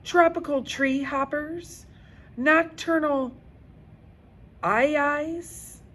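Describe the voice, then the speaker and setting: A woman's voice: high voice, very slow, fairly bright tone, pitched above the performer's own voice, heavily acted. A woman reading a storybook as an eager, curious young schoolgirl who is showing off. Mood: eager, polite. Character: eager, curious third-grade girl showing off.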